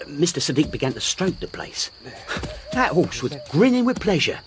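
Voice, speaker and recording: An actor playing a humble, earnest racehorse jockey in a radio drama, deferential, with rustic, ungrammatical speech. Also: male voice, on the high side, sing-song, normal speed, crowd noise. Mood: earnest, indignant. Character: humble, earnest working-class racehorse jockey devoted to his horse.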